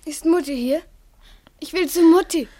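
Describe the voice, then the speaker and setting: Little village girl, really high voice, pleading and scared, radio play, dry sound. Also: moderate pace, warm tone, dry recording. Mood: timid. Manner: pleading, plaintive. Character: frightened, pleading little village girl looking for her mother. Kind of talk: German radio play acting.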